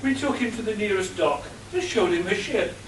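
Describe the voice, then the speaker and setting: Man as an obliging, high-pitched British butler. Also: animated, normal speed. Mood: formal, obliging. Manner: formal, obliging. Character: deferential male butler, quietly smarter than employer, unflappable. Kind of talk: comic radio play acting. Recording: roomy echo, distant mic, faint noise.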